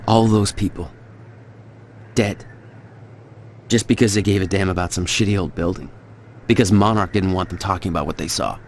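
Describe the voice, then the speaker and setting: A man's voice: low-pitched, fairly even intonation, normal speed, pauses often. A man playing a blunt, bitter and determined hero in a video game, speaking tersely in a naturalistic style. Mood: grim. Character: determined, bitter hero out to confront his former friend. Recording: clearly noisy, vehicle and ambient sound.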